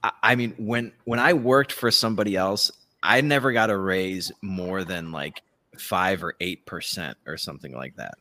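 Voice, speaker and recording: A man with a fairly low voice hosts a casual talk podcast. He sounds sardonic and opinionated, swearing freely. The recording is very dry, with no room sound. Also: normal speed, bright, forceful tone, no echo. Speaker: podcast host. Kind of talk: casual talk show commentary.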